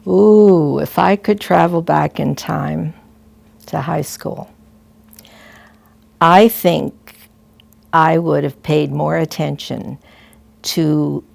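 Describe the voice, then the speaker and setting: An older woman, a retired school principal, telling gentle, halting stories and advice to students. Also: sits low, gravelly, no room sound. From Canada. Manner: gentle, halting, with frequent restarts and soft asides. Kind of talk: reflective storytelling to students.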